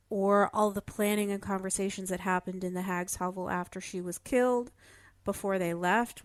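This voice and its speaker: A woman's voice: fairly low pitch, dry recording. A woman talking through her theories in a casual, analytical discussion, thoughtful and careful as she builds each point. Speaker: podcast panelist discussing a fiction show.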